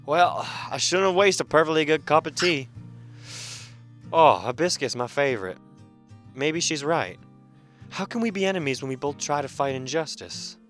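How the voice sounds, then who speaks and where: A man's voice: on the high side, animated, moderate pace, pauses often, fairly bright tone, faint music behind. Man acting an earnest, wary wounded superhero. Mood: pensive. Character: wounded young male masked superhero, wary, earnest, questioning. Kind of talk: superhero radio drama acting.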